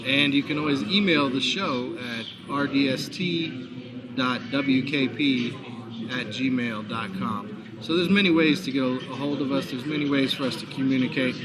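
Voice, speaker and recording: A man with a hoarse voice hosting a live sports talk show, chatty and promotional, from a busy bar. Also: medium pitch, normal speed. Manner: chatty, promotional, frequent fillers. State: hoarse, recovering lost voice. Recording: noisy bar crowd behind. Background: crowd chatter.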